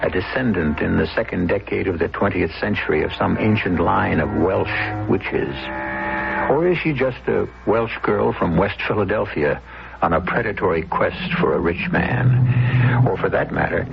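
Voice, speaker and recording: An actor playing an ominous radio mystery host, narrating with theatrical, rhetorical questioning. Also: male voice, deep voice, moderate pace, creaky. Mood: ominous, speculative. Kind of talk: old-time radio drama narration. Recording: muffled old radio, music behind.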